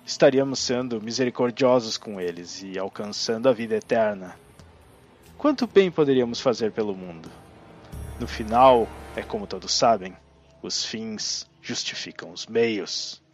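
An actor with a Brazilian accent playing a manipulative undead necromancer in an audio drama, theatrical, grandiose and cajoling. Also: male voice, on the low side, expressive, normal speed, soft background music. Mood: smug, cajoling. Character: undead male necromancer ancestor, smug, manipulative, persuasive villain.

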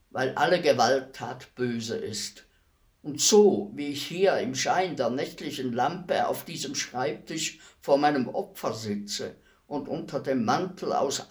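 An actor playing a despised, philosophical executioner in a radio play, speaking in a solemn, deliberate, measured monologue. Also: male voice, medium pitch. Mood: quiet, grave, then brooding, bitter. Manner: measured, grave, deliberate declamation. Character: despised executioner, calm, philosophical killer addressing his victim.

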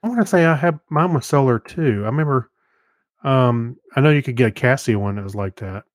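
A man's voice: medium pitch, brisk, no echo, clean studio sound. A man in his fifties co-hosting a podcast, chatting and reminiscing in an informal way. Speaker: podcast co-host. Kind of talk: casual chat, reminiscing.

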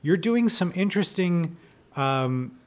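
A man's voice: sits high, expressive, takes it slowly. A man hosting a casual, conversational interview, joking and playfully skeptical as he talks. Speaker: podcast host interviewer.

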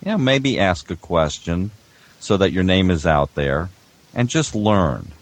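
Middle-aged man, very low pitch, casual roundtable chat, practical tips. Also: expressive, unhurried. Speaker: audio producer, guest panelist.

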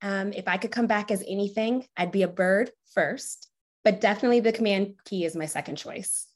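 A woman's voice: medium pitch, a little fast. Encouraging woman, podcast guest. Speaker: podcast guest, corporate strategy director. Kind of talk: casual podcast interview answers.

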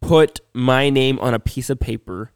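A man's voice: mid-range voice, unhurried, smooth, dry recording. A man on a podcast, sick with a sore throat, telling a long story in a rambling way with lots of restarts. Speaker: podcast co-host. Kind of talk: casual chat.

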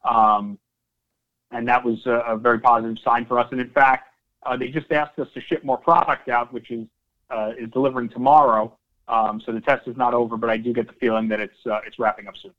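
A man's voice: mid-range voice, flat delivery, fairly quick. A man speaking as a company executive on an investor call, answering questions in semi-prepared remarks and repeating phrases for emphasis. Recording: dull, band-limited conference call audio.

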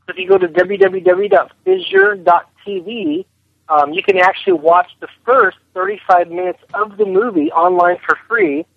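A man's voice: high-pitched, big pitch swings, moderate pace, creaky, no echo. A man being interviewed as a film director, answering conversationally over a telephone line. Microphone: phone.

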